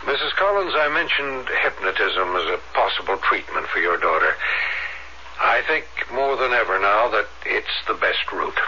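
A man playing a calm, probing psychiatrist in an old-fashioned radio drama, measured, professional and authoritative. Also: lowish voice, full character voice. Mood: assured. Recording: dull, noisy old radio recording.

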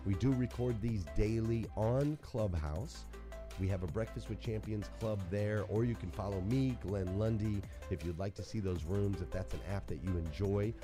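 A man's voice: lowish voice, normal speed. A man hosting a podcast and delivering an upbeat, motivational intro speech. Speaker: podcast host. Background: music.

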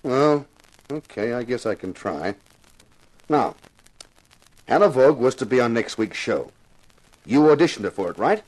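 A man's voice: medium pitch, lively intonation, moderate pace, long pauses, darkish tone, natural voice, rough recording. A man acting as a wry, hard-boiled TV actor turned reluctant sleuth in a 1950s radio detective drama. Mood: pondering. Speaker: lead actor and narrator.

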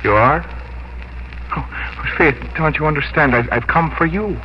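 An actor playing a weak-willed, pleading young suitor in a 1950s American radio drama, urgent, halting and melodramatic. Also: male voice, on the high side, natural voice. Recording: muffled, noisy old radio recording.

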